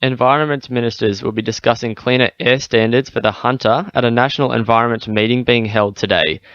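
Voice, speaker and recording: A man's voice: medium pitch, fairly even intonation, a little fast, fairly bright tone, dry recording, lo-fi sound. An Australian man reading a scripted radio news bulletin.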